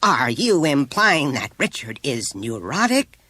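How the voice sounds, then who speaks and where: A man's voice: mid-range voice, wildly swooping pitch, a little slow, sharp tone, dry recording, pitched above the performer's own voice, heavily acted. A man voicing a proud, formidable, well-bred mother with a haughty, clipped and indignant manner. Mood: chilly, offended.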